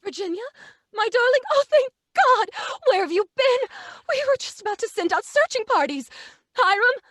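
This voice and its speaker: A very shrill, anxious mother. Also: big pitch swings, moderate pace, fairly bright tone, no room sound, clean studio sound, full character voice. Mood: frantic relief. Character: anxious, fussing, affectionate woman of the household. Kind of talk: old-time radio drama acting.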